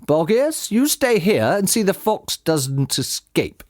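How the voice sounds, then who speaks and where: Man doing a bossy, high-pitched skinny farmer. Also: big pitch swings, takes it slowly, a little mellow, no room sound, studio quality, exaggerated voice. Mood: commanding. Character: tall skinny farmer, bossy villain taking charge.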